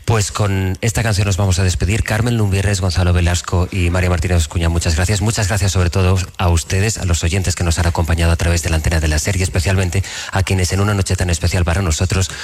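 Deep-voiced, quick Spanish radio host, male. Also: level tone, darkish tone. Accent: Spain. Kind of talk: live radio interview and hosting. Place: live radio show in a venue before an audience.

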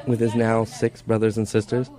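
A man narrating a scripted news feature as a reporter, with a measured, even delivery. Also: on the low side, very noisy.

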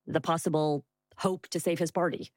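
A woman's voice: low-pitched, fairly even intonation, normal speed, no echo, studio quality. A woman hosting a news podcast, asking thoughtful, probing questions. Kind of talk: interviewing.

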